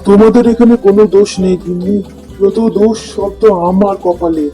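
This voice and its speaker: A man's voice: high voice, fairly even intonation, brisk, warm tone, lightly acted. A man voicing a poor, kind-hearted village fisherman and father in a cartoon, with a plaintive manner. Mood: sorrowful. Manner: plaintive, lamenting. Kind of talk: cartoon dubbing. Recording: music under the voice.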